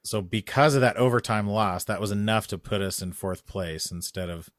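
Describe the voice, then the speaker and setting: Excitable man telling a story. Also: lowish voice, normal speed, no room sound, studio quality. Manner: animated storytelling, rising loud when excited. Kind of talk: casual chat.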